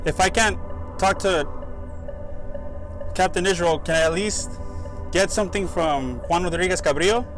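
A young man with a slightly high voice. He talks casually as a vlog host investigating on location outdoors. Soft music plays faintly behind him. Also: normal speed, pauses often. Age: young adult. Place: outdoors beside a lighthouse building.

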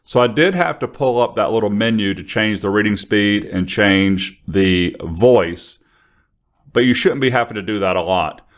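Male tutorial host, 50s, lowish voice, conversational, close studio mic. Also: moderate pace. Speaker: software trainer. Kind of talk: casual tutorial to camera. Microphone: large studio condenser microphone on an arm, close.

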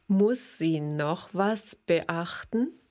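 A woman voicing a grateful mother at the doctor's, speaking slowly and carefully. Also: lowish voice, lively intonation, mellow. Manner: slow, careful lesson reading.

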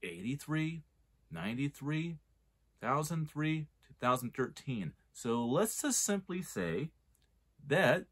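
A man's voice: a little high, big pitch swings, takes it slowly, husky. A man in his forties giving a casual, rambling film review, often restarting his sentences as he argues a point. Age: 40s. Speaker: movie reviewer.

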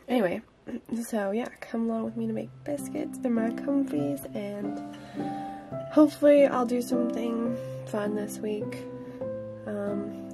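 A young woman in her twenties vlogging casually from her cozy wooden home, chatty and upbeat and a little hoarse from a cold. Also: fairly high pitch. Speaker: vlogger and songwriter. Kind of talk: casual chat to camera. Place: cozy wooden home kitchen and living room.

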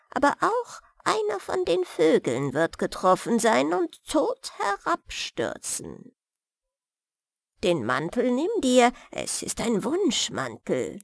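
A woman voicing a kindly, hungry old wise woman from a fairy tale, speaking in a drawn-out, pleading way. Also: fairly high pitch, creaky, no room sound, studio quality, exaggerated voice.

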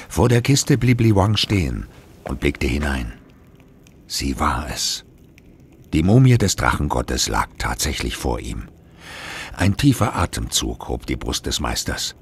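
Grave horror storyteller, exceptionally low voice, solemn suspenseful scripted narration. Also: male voice, slightly rough, exaggerated voice. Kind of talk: scripted narration of a German horror audio drama.

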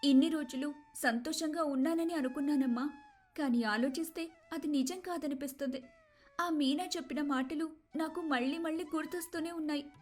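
A woman's voice: high-pitched, moderate pace, natural voice. A woman voicing a rich, proud young wife full of sorrow and regret in a dramatized moral story. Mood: sorrowful, brooding.

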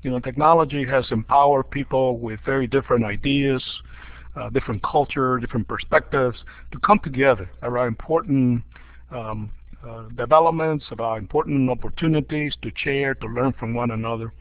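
An older man from Cuba talks through his thoughts with frequent "uh"s and restarts, now and then chuckling at his own jokes. His voice sits in an ordinary middle pitch. The audio is dry and a bit dull, like a lo-fi online call. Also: a little fast, no echo. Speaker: dean of a school of education. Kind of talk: casual spoken overview on a webinar.

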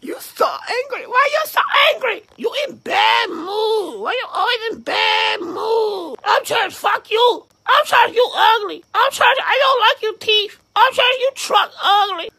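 A shrill broken-English heckler. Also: male voice, expressive, a little slow, gravelly, hardly any pauses, exaggerated voice. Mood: mocking. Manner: shouted insults in clipped broken English. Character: broken-English male heckler, mocking and shouting.

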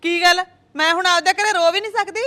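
A woman's voice: quick pace, a bit bright. A woman playing an older mother, pleading and lamenting, bristling when challenged. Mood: indignant, aggrieved. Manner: pleading, lamenting, pitch rises in arguments. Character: grieving older mother, defensive, talks back. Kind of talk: family drama skit.

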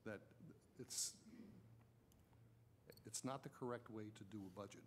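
A man's voice: fairly low pitch, measured pace, slight background noise. A man speaking as a council member in a meeting, blunt and insistent as he argues. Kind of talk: critical discussion at a public budget meeting. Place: council chamber.

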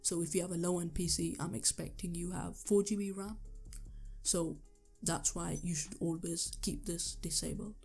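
A young man with a sore, hoarse throat, talking through a computer tutorial step by step, with the odd um. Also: high voice, fairly even intonation, normal speed, darkish tone, soft background music. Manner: earnest step-by-step instructions with ums. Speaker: tech tutorial youtuber. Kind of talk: screen-recorded tutorial voice-over.

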